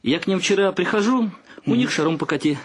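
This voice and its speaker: A grumbling, money-minded sceptic in a radio drama. His high voice is raspy and jumps about reproachfully. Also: expressive, fairly quick. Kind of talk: radio play acting.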